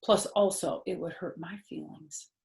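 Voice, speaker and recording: A woman's voice: lowish voice, fairly even intonation, a little slow, creaky, a little mellow. A woman voicing a spirited, stubborn first-grade girl who argues earnestly and emphatically in a storybook reading. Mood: pleading, insistent. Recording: roomy, echoey home recording.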